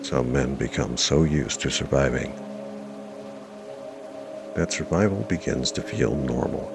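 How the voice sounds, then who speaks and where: A man narrating a guided meditation in a measured, reassuring way, leaving long pauses between short phrases. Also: rumbling low, vocal fry, background noise. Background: music.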